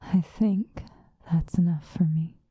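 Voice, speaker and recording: A woman playing an obsessive, possessive lovesick woman in an intimate, whispered ASMR roleplay, with a breathy voice. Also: on the low side, fairly even intonation, dry recording, highs cut off, exaggerated voice. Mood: possessive, resigned. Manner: slow, intimate, pleading.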